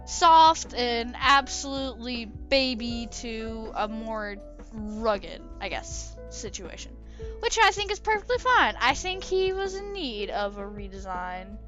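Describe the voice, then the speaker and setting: A woman's voice: high-pitched, fairly bright tone, highs cut off. A woman chatting casually about her own drawing over a speedpaint video, rambling and often correcting herself. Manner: rambling, hesitant, self-correcting. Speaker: artist commenting on own drawing. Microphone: desk microphone.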